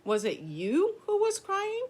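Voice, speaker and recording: A woman's voice: very high voice, wildly swooping pitch, takes it slowly, darkish tone, pitched above the performer's own voice, heavily acted. A woman voicing a lonely, earnest young male beaver in a storybook, calling out in a plaintive, childlike way. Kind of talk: storybook character voice.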